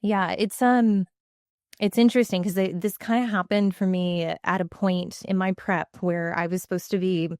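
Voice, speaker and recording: A woman's voice: on the low side, steady tone, normal speed, darkish tone, no room sound, clean studio sound. A woman hosting a podcast and coaching athletes, chatty and full of fillers, still congested after weeks of being sick. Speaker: podcast host, bodybuilding coach. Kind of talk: casual podcast conversation.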